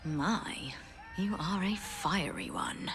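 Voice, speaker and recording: A woman's voice: on the low side, expressive, slow pace, vocal fry, fairly bright tone, soft background music, heavily acted. An actress playing a wealthy, self-assured businesswoman in a video game cutscene, speaking in a genteel, wry, gracious way. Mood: polite sparring. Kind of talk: video game cinematic voice acting.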